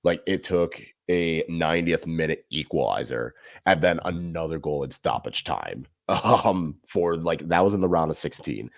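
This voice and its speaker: Very deep gravelly man, animated. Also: normal speed, hardly any pauses, no echo, clean studio sound. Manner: animated, rambling analysis with self-corrections. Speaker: podcast guest. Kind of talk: casual sports chat.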